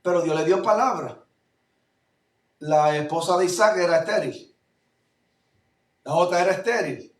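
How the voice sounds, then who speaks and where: A male pastor from Puerto Rico with a Puerto Rican accent, preaching a fervent sermon that swings between loud declarations and low asides. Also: unhurried, pauses often. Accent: Puerto Rican Spanish. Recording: echoey room, voice from far off mic.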